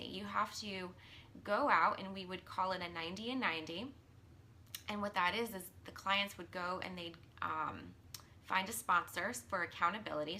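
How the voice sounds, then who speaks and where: Woman in her 30s, live-stream coach, bright tone, slightly hoarse, earnest chatty delivery with ums. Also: medium pitch, slight background noise. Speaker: mindset and business coach. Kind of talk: casual live-stream talk, reading viewer comments.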